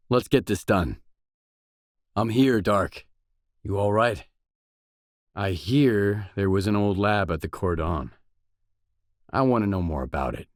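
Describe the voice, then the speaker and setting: An actor playing a determined, guarded stalker in a video game, speaking in a terse, businesslike manner. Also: male voice, on the low side, dry recording, studio quality. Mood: urgent, then businesslike, probing. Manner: terse, businesslike, probing questions. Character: gruff, guarded, determined stalker, a man roaming a hazardous zone.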